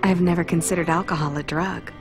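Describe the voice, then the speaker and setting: A woman acting a creaky-voiced, composed woman. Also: fairly low pitch, steady tone, fairly quick, natural voice. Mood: wry. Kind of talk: dubbed video game cutscene acting. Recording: music behind the voice.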